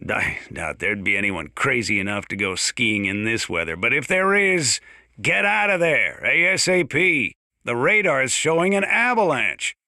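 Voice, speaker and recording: An actor playing a folksy, rambling old American small-town sheriff with dry humor, giving emergency radio announcements in a video game. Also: male voice, mid-range voice, sharp tone, dry recording. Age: older.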